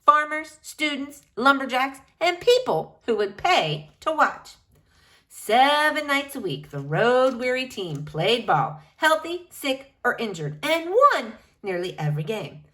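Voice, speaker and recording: A woman reads a picture book aloud in a bright, fairly high voice. Her delivery is unhurried and theatrical, leaning hard on important words and drawing them out for young listeners. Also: sing-song. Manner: emphatic, dramatic phrasing with drawn-out key words. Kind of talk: expressive children's picture book read-aloud.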